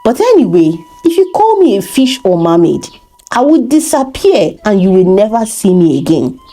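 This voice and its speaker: A woman's voice: mid-range voice, sing-song, moderate pace, dark tone, faint music behind. A woman voicing a proud, solemn, magical mermaid bride in a storybook folktale. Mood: firm warning.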